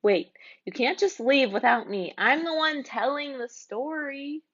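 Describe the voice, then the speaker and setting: A woman's voice: sits high, unhurried, fairly bright tone, lo-fi sound, pitched above the performer's own voice. A woman playing a pushy, exasperated storybook narrator who argues with the characters.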